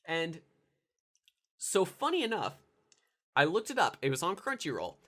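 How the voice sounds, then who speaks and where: A man's voice: a little high, huge pitch swings, normal speed, sharp tone. A congested young man with a nasal voice, rambling casually through anime commentary with lots of fillers and restarts. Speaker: anime video reviewer.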